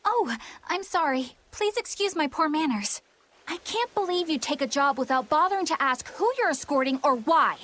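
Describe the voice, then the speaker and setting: A proper, earnest young woman from a fantasy game, easily flustered. Her voice is high and swings expressively. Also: expressive, moderate pace, fairly bright tone. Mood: polite, indignant.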